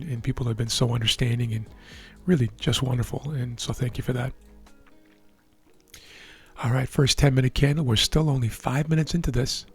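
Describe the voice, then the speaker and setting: A man whose voice is husky and hoarse, as if worn down from talking. He walks through the market in a relaxed, explanatory way, at a middling pitch, with faint music in the background. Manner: relaxed, explanatory, checks in with "right?". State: hoarse, losing his voice. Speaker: trading educator. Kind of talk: live market commentary over a chart screen.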